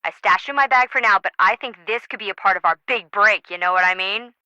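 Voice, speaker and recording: A woman's voice: medium pitch, fast, sharp tone, dry recording, highs cut off. An actress playing a brash, slippery woman treasure hunter in a video game, with wry, breezy, slangy teasing. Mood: sarcastic.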